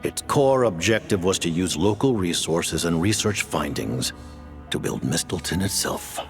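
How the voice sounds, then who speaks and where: Deep gravelly formal commander, slow grave delivery, music under it. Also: male voice, animated. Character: stern, formal highest-ranking male commander. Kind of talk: video game voice acting. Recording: game audio with music.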